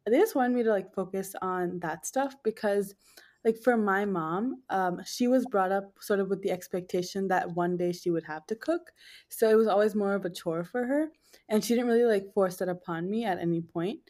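Podcast guest, female, twenties, nasal mid-pitched voice, casual and conversational delivery. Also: moderate pace, darkish tone. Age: 20s. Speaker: podcast guest, food blogger.

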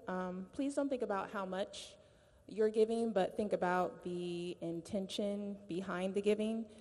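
Woman, gentle and mellow, leads the offering with a scripture reading, reverberant hall. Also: mid-range voice. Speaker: offering leader. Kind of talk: church announcement with scripture reading. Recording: long reverb of a large hall.

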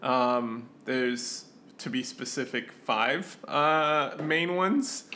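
A man co-hosting a podcast in casual chat, with a joking, halting way of talking. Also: mid-range voice, pushed and bright, slight background noise. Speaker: podcast co-host.

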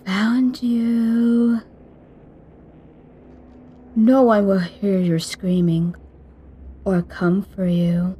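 A woman's voice: mid-range voice, darkish tone, breathy edge, full character voice. Woman playing a gloating female slasher. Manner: taunting, teasing and cruel. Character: menacing female slasher killer stalking her prey. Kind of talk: audio roleplay.

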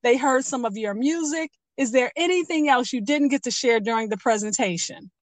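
A woman's voice: high voice, moderate pace. A woman hosting a radio interview, addressing listeners and encouraging her guest by echoing answers back. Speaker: radio show host.

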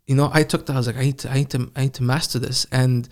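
A man with a middle-pitched voice speaks in a steady, flat tone as an interview guest. He tells his stories calmly and thoughtfully, without much rise and fall in his voice. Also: racing. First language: not Arabic. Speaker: Quran reciter, guest. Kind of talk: casual interview.

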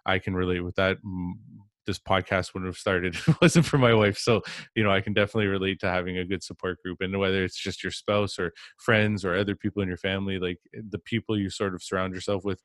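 Podcast host, male, very low and even voice, rambling with fillers, dry close sound. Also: fast talker, no room sound. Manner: rambling, many fillers and restarts. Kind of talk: casual interview chat.

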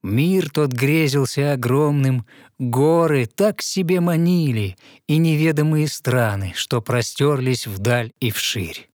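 A man narrating a fairy tale in verse, in an expressive storytelling manner. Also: mid-range voice, takes it slowly.

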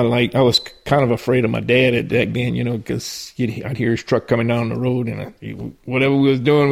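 Older Cajun man with a gravelly voice. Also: mid-range voice. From southern Louisiana. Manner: rambling, folksy, frequent restarts and chuckles. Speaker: interviewee. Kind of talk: personal storytelling.

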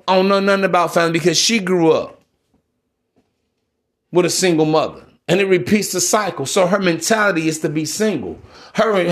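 A man with an American accent giving an informal, blunt monologue to listeners, slangy and preachy, repeating himself for emphasis. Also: high-pitched. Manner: emphatic repetition. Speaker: podcast host.

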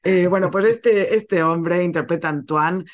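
A man's voice: high-pitched, unhurried. A man hosting a casual film podcast, chatty and correcting his own slips as he goes. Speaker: podcast host presenting a film.

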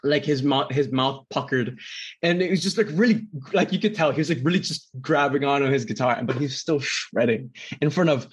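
A man's voice: medium pitch, fairly quick, husky. A young man from Indonesia co-hosting a casual podcast, telling stories in a rambling way with lots of "like" and laughter. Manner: rambling, heavy filler "like", laughs often. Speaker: podcast co-host. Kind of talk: casual chat.

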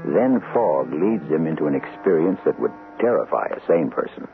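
Deep gravelly radio mystery host. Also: male voice, big pitch swings, full character voice. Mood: solemn, ominous. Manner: deliberate, ominous. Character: solemn, philosophical host of a mystery series. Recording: tinny old radio broadcast. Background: music.

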